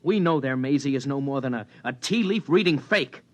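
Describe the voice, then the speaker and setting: An actor playing a combative, insistent young physicist in a 1950s radio drama. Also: male voice, medium pitch, lively intonation, a little fast, no room sound, close to an everyday voice. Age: young adult. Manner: insistent, argumentative.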